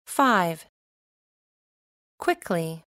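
A woman reading numbered items for a recorded English listening exercise, slowly and carefully enunciated. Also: lowish voice, expressive, fairly bright tone, smooth, no echo, clean studio sound. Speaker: recorded listening test reader.

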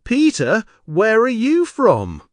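An actor voicing a friendly young schoolboy in a scripted textbook dialogue, speaking slowly and clearly. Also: medium pitch, wildly swooping pitch, no echo. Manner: slow, clear, carefully spoken.

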